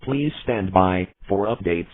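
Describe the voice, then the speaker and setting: A man's synthetic voice reading a scripted public warning as an emergency alert announcer, flat and formal. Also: deep voice, unhurried, no echo. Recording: muffled phone-like alert audio.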